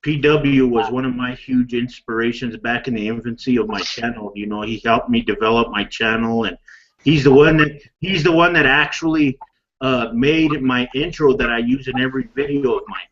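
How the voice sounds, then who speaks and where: A man's voice: mid-range voice, steady tone, normal speed, fairly bright tone, lo-fi sound. A man hosting an online panel, talking in a friendly, reassuring way. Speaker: channel host. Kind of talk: casual group chat.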